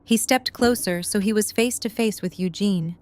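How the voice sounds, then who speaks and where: Female narrator for scripted fiction, mid-range pitch, smooth and clear. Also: normal speed, darkish tone.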